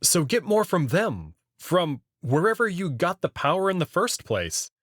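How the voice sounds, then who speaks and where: A man's voice: high voice, big pitch swings, unhurried, a bit bright, no room sound, clean studio sound, voice pushed up, heavily acted. A man voicing a frustrated, incredulous young human abductee in a visual novel, questioning indignantly. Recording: clear music behind the voice.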